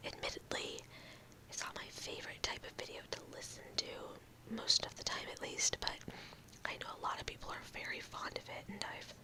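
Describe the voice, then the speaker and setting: A young woman in her room, whispering slowly and softly into a close mic in a casual ASMR ramble, her voice a little odd after a cold. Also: rough recording. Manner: slow, halting, talks with fillers and small laughs. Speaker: ASMR video creator.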